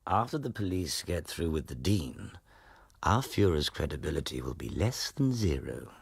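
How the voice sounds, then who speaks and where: An actor in a radio play playing a scheming, smug radical drama lecturer with a husky, gravelly voice and a sly, measured manner. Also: male voice, extremely low pitch, big pitch swings, no echo, full character voice. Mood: smugly triumphant.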